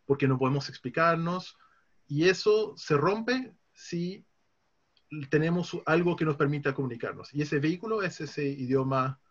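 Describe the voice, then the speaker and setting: A man from France, a guest on a casual podcast, explaining things calmly with frequent hesitations. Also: a little high, moderate pace. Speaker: guest, conference interpreter. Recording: dull lo-fi recording.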